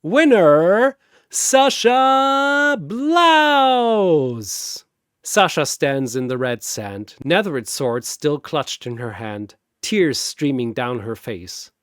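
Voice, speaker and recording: A man narrates a scripted story slowly and deliberately, letting his pitch swing widely for drama. The sound is close and dry, with no room around it. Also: expressive, dry recording.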